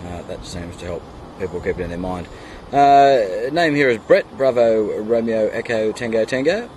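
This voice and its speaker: Man, Australian accent, lowish voice, casual radio chat, halting delivery, outdoor background noise. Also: expressive, a little mellow. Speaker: amateur radio operator. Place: outdoors in a kayak on a creek.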